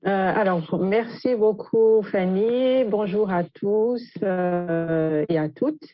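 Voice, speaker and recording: A woman from Gabon speaking as a guest chef, recounting her background in an interview with drawn-out hesitations. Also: medium pitch, dry recording, soft background music. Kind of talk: autobiographical account in an interview. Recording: muffled lo-fi audio.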